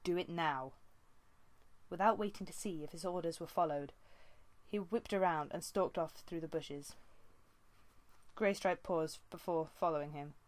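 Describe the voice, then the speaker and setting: A woman's voice: lowish voice, moderate pace, a little mellow, dry recording. A woman reading a story aloud as an amateur audiobook narrator.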